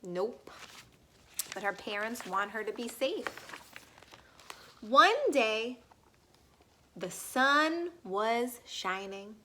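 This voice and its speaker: A woman reads a children's story aloud in a high, lively voice. Her delivery is slow and emphatic, swinging widely in pitch, with little asides put to the young listeners. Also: a little hiss or hum. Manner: animated, emphatic, drawn-out key words. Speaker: storybook reader.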